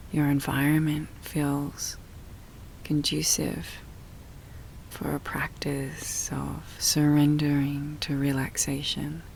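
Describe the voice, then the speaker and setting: A woman guiding a relaxation meditation in a soft, breathy, often near-whispered voice, soothing and full of long pauses. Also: deep voice, slight background noise. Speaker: yoga nidra meditation guide.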